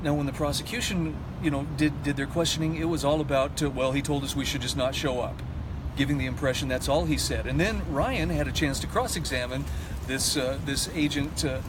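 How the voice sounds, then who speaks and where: A man's voice: a little high, brisk. A man giving an informal spoken news update with opinion, explaining things earnestly. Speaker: court trial commentator giving updates. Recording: clear outdoor street and traffic noise.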